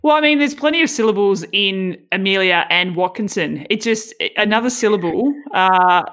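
A woman's voice: mid-range voice, moderate pace, a bit bright. A woman who commentates races, interviewing a guest in a chatty way with long, wandering questions. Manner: chatty, rambling questions with restarts. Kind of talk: casual interview.

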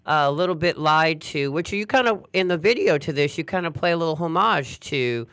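A man's voice: fairly high pitch, animated, normal speed, a bit bright, smooth, no room sound. A man hosting a radio interview, upbeat and chatty, often stumbling and restarting mid-sentence. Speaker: radio host.

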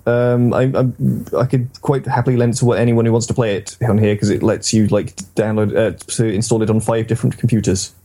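A man's voice: medium pitch, darkish tone. A man hosting a casual, rambling and enthusiastic discussion about games. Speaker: podcast host.

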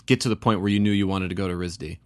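Man, podcast host, lowish voice, dry close sound, casual halting questions. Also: fairly even intonation, darkish tone, smooth, dry recording. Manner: curious, halting, with many fillers. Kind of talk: casual interview.

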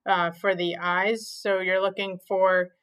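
A woman's voice: mid-range voice, little pitch movement, slow, a bit bright. A young woman from Maine, a physical therapist, answering interview questions plainly with frequent "um"s. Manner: plain, many "um"s, simplifies explanations.

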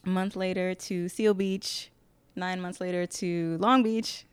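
Young woman guest, casual and laid-back, dry close sound, crisp tone. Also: on the low side, measured pace, dry recording. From Connecticut, US. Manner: relaxed, laughs often, slangy. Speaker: podcast guest. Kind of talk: casual interview chat.

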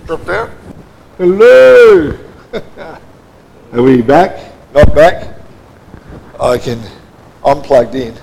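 A man preaching a sermon in a church with a loud voice, in a casual, joking manner with frequent restarts. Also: on the high side, lively intonation, extremely slow, creaky, long pauses, a little hiss or hum, poor audio. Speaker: pastor. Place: church hall.

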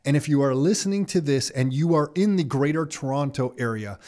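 A man's voice: fairly high pitch, animated, a little fast, darkish tone. A man hosting a podcast, enthusiastic and a bit rambling, with restarts as he talks. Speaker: podcast host. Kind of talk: casual interview and ad read.

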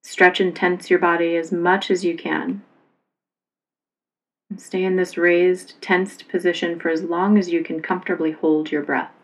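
A woman leads a guided relaxation as a yoga instructor. Her voice sits on the low side with plenty of vocal fry, and her delivery is calm, unhurried and very even in pitch. She gives soothing, simple instructions. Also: poor audio.

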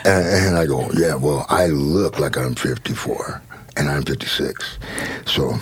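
Male host, 50s, booming deep husky voice, blunt and frank. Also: a little slow. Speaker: podcast host. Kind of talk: casual interview chat.

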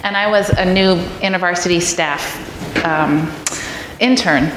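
A woman's voice: lowish voice, slow pace. A middle-aged woman, a guest preacher, speaking in an informal, earnest, conversational way with personal anecdotes. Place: large echoey church room, live audience. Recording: echoey hall, clear background noise.

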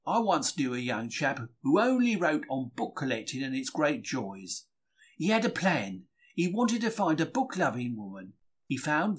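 A man reads a humorous diary aloud as a storyteller. His voice sits a little high and swings widely in pitch, with colloquial, characterful phrasing. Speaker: audiobook reader. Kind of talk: dramatic reading of a humorous diary.

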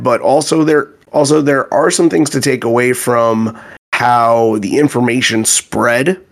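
A man with a slightly hoarse voice hosting a music podcast in a conversational style. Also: mid-range voice. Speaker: podcast host.

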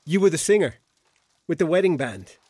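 A man's voice: on the high side, lively intonation, fairly quick, a little mellow, dry recording. A hungover, bewildered Irish man, dry recording. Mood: bemused. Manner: awkward, colloquial, hesitant. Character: hungover, bewildered, flippant ladies' man named Sam. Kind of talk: radio drama acting.

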